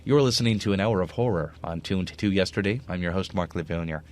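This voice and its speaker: A man with a low voice presents as a radio host. He reads scripted introductions in an orderly, announcing style. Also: fast, a little mellow.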